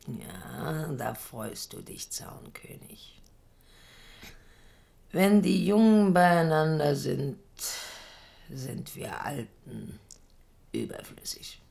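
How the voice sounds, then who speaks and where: Old queen mother, sharp-tongued and bitter, gravelly and slow. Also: rumbling low, slight background noise, full character voice. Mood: wistful. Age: older. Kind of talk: 1970s radio drama acting.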